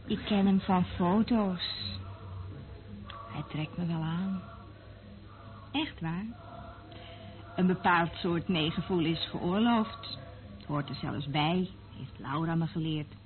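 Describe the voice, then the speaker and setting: A woman's voice: fairly low pitch, slow pace, pauses often. An actress playing a dreamy, sensitive, experienced woman in a radio play, soothing and reflective in her manner. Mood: wistful. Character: dreamy, sensitive experienced female surveillance listener. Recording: tinny band-limited old radio recording.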